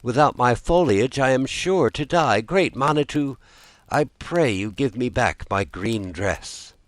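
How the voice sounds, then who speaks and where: Guy reading as a sad pine tree begging for help, plaintive and formal, swinging pitch, storybook. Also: expressive, a little slow, dry recording, natural voice. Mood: pleading. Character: stripped pine tree, pleading and sorrowful.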